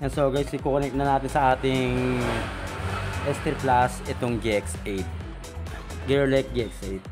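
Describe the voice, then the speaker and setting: A man's voice: medium pitch, fairly even intonation, measured pace, music in the background. A Filipino man talking casually and informally to viewers as a vlogger giving a hands-on product demo. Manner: informal, chatty, addressing viewers.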